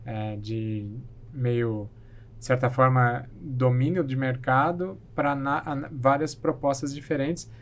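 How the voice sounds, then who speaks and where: A man's voice: medium pitch, steady tone, slow. A man talking as a podcast guest, thoughtful and hesitant, often restarting his sentences. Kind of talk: casual chat.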